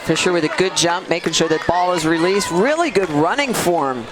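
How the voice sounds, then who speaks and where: A man commentating a youth softball game with open enthusiasm. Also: sits high, lively intonation, normal speed. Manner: enthusiastic, laughs easily. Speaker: color commentator. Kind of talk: live sports commentary. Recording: outdoor stadium with loud crowd noise.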